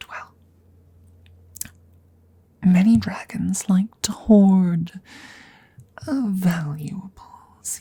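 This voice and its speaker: A woman plays a courteous, awkward she-dragon in an intimate ASMR roleplay. Her voice is lowish and mellow with a gravelly creak, often thinning to a whisper. She picks her words haltingly and formally, shy and smitten. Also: exaggerated voice. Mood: earnest, confiding. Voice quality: husky, breathy, often slipping into whispers. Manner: hesitant, halting, formal and polite.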